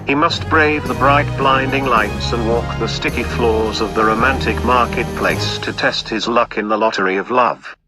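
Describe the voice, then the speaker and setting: A grandiose narrator played by a man in an audio play. He speaks at a middling pitch with florid, pompous delivery, while music plays clearly behind him. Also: fairly even intonation, normal speed, hardly any pauses, natural voice, low-quality recording. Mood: mock-grand. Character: grandiose melodramatic male narrator of a milkman's love life. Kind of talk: scripted narration.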